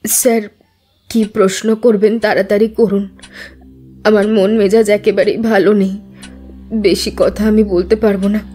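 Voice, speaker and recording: An actress playing a curt, grieving young widow who gives guarded, choked-up answers under questioning in an audio drama. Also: female voice, medium pitch, flat delivery, a little slow, long pauses, warm tone, slightly rough, faint music behind. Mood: curt, upset. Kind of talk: scripted audio drama acting.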